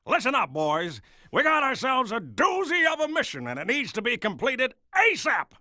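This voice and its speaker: Sharp, high-pitched barking bee drill sergeant. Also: male voice, wildly swooping pitch, moderate pace, no echo, studio quality, heavily acted. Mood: sarcastic, commanding. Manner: barking military briefing.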